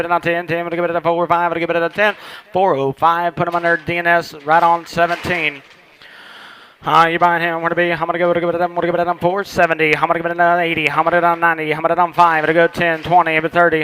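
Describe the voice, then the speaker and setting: Guy auctioneering cattle super fast, bright crisp voice, flat sing-song chant. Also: on the high side. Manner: rhythmic rolling chant of bids, filler words repeated. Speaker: livestock auctioneer.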